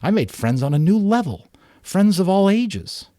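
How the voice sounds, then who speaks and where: A man's voice: high voice, very animated, moderate pace, no echo, clean studio sound, pitched above the performer's own voice. A man voicing a caring, earnest mother in a storybook, explaining things patiently. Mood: thoughtful. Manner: patient, explanatory, gently advising.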